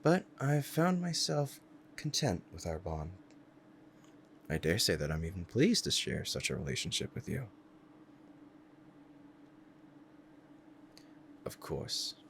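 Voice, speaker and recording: A man's voice: low-pitched, a little hiss or hum. A man playing a powerful, proud demon devoted to a master, speaking in a formal, courtly and menacing way in an ASMR roleplay drama.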